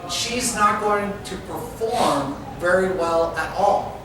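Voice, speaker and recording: A man's voice: sits high, slow. A man speaking to an audience, giving coaching advice directly to his listeners. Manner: instructive, coaching tone with direct address. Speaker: speaker giving a club talk. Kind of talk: presentation to an audience. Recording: echoey hall from far off with noise.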